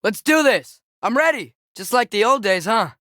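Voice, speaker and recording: A man's voice: high voice, expressive, normal speed, pushed and bright, no echo, studio quality. A young man playing an eager, earnest, curious teenage boy, a companion in a video game, fighting beside his father.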